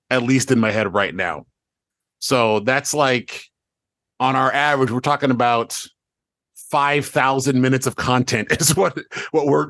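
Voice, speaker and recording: A man with a medium-pitched voice talks as a podcast host in a dry, close-sounding space. He rambles casually and animatedly, slipping into quick re-enactments of things people said. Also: no room sound. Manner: animated, rambling storytelling, acting out remembered remarks. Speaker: podcast host and showrunner of an audio drama. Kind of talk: casual chat looking back on a show's season.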